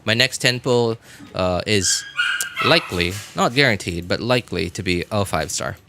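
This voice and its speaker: Man, low voice, game streamer, casual rambling chat, halting, self-deprecating, noisy recording with clicks. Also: expressive. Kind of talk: casual livestream chat while gaming.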